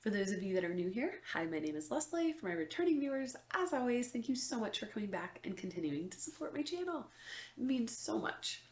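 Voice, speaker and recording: A woman's voice: on the high side, big pitch swings, a little fast, darkish tone, reverberant. A young woman with a stuffy, congested voice chatting casually to camera as a vlogger, with lots of ums and likes. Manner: chatty, many ums and likes, laughs often. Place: room with window open, indoors.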